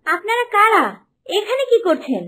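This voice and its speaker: A woman's voice: very high-pitched, very animated, normal speed, pushed and bright, full character voice. A woman playing a bewildered young queen in a cartoon, with a puzzled, questioning manner. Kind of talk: cartoon story dubbing.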